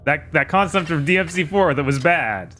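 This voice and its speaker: A man's voice: on the high side, expressive, moderate pace, bright, forceful tone. A man playing a video game on stream, thinking aloud about it in casual chat with the host. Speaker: player on stream. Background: game music.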